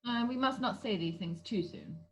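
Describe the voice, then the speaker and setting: A woman's voice: a little high, lively intonation, normal speed, low-quality recording. A woman playing a prim, moralising Victorian governess in an amateur play read-through. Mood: disapproving. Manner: moralising, starchy. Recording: faint hum on video call.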